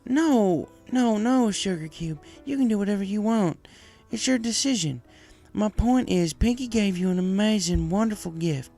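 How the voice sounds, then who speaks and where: A man voicing a caring, steady young female farm pony, folksy, reassuring and firm, in a story reading. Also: high-pitched, normal speed, vocal fry, soft background music, voice pushed up.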